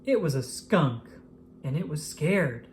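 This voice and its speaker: An expressive storybook narrator reads aloud for young children with a pitch that moves up and down freely. The delivery is scripted and acted out, over faint background noise. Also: takes it slowly. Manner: expressive, acts out exclamations and dialogue.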